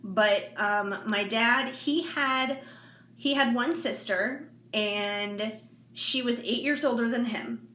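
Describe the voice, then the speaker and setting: A woman of forty talking candidly to her viewers in a casual, rambling way with many fillers and soft laughs. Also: on the high side, slow pace. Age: 40s. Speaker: intuitive reader and vlogger. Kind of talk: casual heartfelt monologue to viewers. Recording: echoey room with faint background noise.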